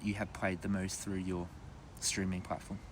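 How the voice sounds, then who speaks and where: A man hosting a podcast outdoors, asking quick-fire questions in a playful, teasing way and laughing a lot. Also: low-pitched, little pitch movement. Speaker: podcast host. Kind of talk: casual rapid-fire interview questions. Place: outdoors in college grounds.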